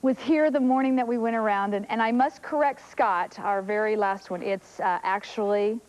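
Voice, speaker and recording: Woman, morning TV news anchor, mid-range pitch, presenting and chatting, old VHS broadcast recording. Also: normal speed, darkish tone, low-quality recording.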